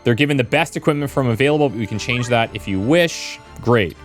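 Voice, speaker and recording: Casual male gamer, mid-pitched voice. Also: animated, brisk. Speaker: video game streamer. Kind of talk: casual let's play commentary. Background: game music.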